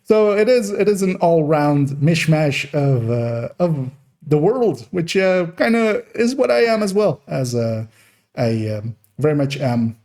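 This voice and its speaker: A man's voice: fairly high pitch, big pitch swings, measured pace, a little mellow. A young man born in Iran and raised in the Netherlands, with Farsi as his first language and a mixed Persian, Dutch and American accent, rambling through a Q&A for his gaming channel with many fillers and restarts. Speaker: gaming YouTuber. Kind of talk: rambling Q&A monologue.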